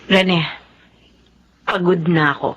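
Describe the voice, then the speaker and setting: A woman's voice: fairly low pitch, steady tone, slow, fairly bright tone, dull audio, a little hiss or hum. A Filipino actress playing a weary, resentful middle-aged wife and mother in a radio drama, with a plaintive, emotional delivery.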